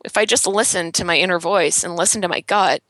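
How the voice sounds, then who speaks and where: A woman's voice: lowish voice, brisk, fairly bright tone, dry recording, low-quality recording. A woman in her thirties, a designer and blogger, talking earnestly about herself in a podcast interview, with light laughs and lots of "um" and "like". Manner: earnest, self-reflective, laughs lightly, many "um" and "like". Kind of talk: candid podcast interview chat.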